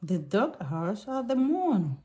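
A woman reading a picture book aloud for small children in simple, deliberate sentences. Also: medium pitch, big pitch swings, warm tone.

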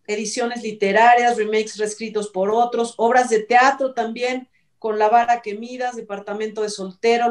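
A middle-aged woman with a Mexican accent giving casual commentary as a panelist on an entertainment show. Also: on the high side. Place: indoor room, video call. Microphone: video call mic at a distance. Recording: echoey room, distant mic.

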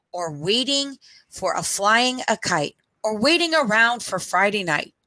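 A middle-aged woman reading a picture book aloud for children at storytime, phrase by deliberate phrase, into a headset microphone. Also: fairly high pitch, sharp tone, dry recording, poor audio.

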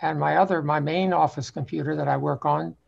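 An older man talking casually in a halting, explanatory way over a video call from a home office. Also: on the high side, steady tone, creaky, a little mellow, slight background noise. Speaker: amateur actor new to the stage. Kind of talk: casual reflective talk. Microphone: computer on a video call. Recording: dull lo-fi video call audio.

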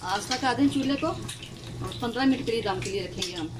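A woman hosting a home cooking tutorial in her kitchen, talking through each step slowly with frequent hesitations. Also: a little high, very noisy. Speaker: cooking channel host.

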